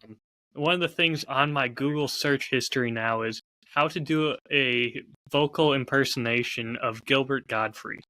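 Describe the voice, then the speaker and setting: A man's voice: medium pitch, normal speed, pushed and bright, poor audio. A man co-hosting a podcast in casual banter, with a dry, self-deprecating manner. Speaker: podcast co-host.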